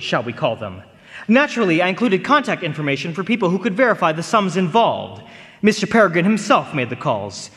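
A man's voice: high-pitched, fairly bright tone. An actor playing a smug, sly newspaperman who needles his rival with wordy, mock-polite threats in a live radio drama. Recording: reverberant concert hall stage.

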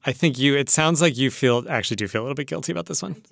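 A man's voice: medium pitch, very fast, gravelly, a bit bright, no room sound, clean studio sound. A man hosting a public radio show, speaking conversationally as an interviewer.

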